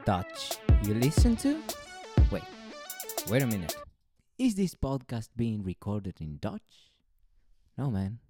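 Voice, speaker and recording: A man's voice: lowish voice, sing-song, slow, pauses often, music in the background. A man hosting a podcast interview, joking and theatrical, with mock asides to himself. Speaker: podcast host, student ambassador. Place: Netherlands.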